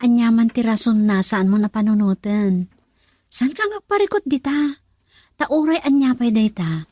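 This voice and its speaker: A young country woman in love, with an Ilocano accent. Her high voice swings expressively from teasing to tender, captured close and dry in radio drama fashion. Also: lively intonation, moderate pace, mellow, dry recording.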